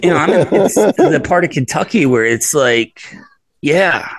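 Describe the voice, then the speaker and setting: A man co-hosting a podcast who tells a story haltingly, stopping and restarting often. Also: fairly high pitch, lively intonation. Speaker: podcast co-host. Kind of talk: casual podcast chat.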